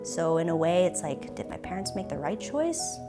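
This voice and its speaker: A young Canadian woman sits for an interview and answers in a thoughtful, hesitant way, pausing often to find her words. Her voice sits on the low side for a woman, and soft music plays faintly behind her. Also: very animated. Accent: Canadian English. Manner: thoughtful, hesitant, with many pauses and restarts. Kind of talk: reflective personal interview.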